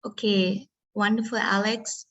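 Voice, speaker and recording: A woman's voice: mid-range voice, flat delivery, a little slow, fairly bright tone, highs cut off. A woman from Vietnam presenting an online workshop as facilitator over a video call, hesitant with many ums and uhs.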